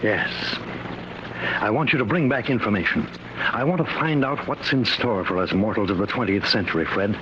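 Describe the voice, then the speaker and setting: Grave, fatherly scientist, earnest and hesitant, lively intonation, dull vintage radio sound. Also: on the low side, normal speed. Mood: urgent, earnest. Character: grave, worried professor inventing time travel, fatherly. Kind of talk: 1950s radio drama acting. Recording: dull, noisy old radio recording.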